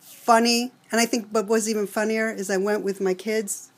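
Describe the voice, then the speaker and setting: A woman's voice: mid-range voice, level tone, moderate pace. New York woman counselor, earnest. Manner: earnest, explanatory, lecturing. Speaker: college alcohol counselor. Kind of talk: expert interview answers.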